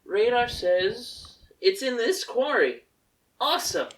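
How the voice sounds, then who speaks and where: Woman playing a lively, playful warrior girl. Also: a little high, takes it slowly, rough recording. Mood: excited. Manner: playful, excitable. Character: cheery, childlike alien warrior girl, playful and competitive. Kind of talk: amateur fanfiction character reading.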